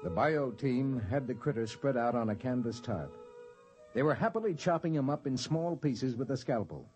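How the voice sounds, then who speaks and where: A man's voice: mid-range voice, animated, moderate pace, a little mellow, close to an everyday voice. A man acting in a 1950s radio drama as a wry, matter-of-fact space explorer who narrates his own story. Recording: dull old radio recording, faint music.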